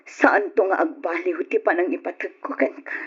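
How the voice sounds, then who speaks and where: A woman's voice: on the low side, animated, moderate pace, slightly rough. A woman playing a hurt, devoted middle-aged mother in an old radio drama, earnest and pleading. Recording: dull old radio recording with music.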